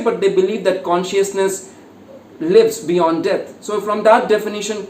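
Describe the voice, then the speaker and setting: High-voiced Indian man explaining, echoey. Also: slight background noise. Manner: lecturing, defines terms step by step. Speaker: guest author. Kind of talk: explanatory interview answer. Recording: echoey room, distant mic.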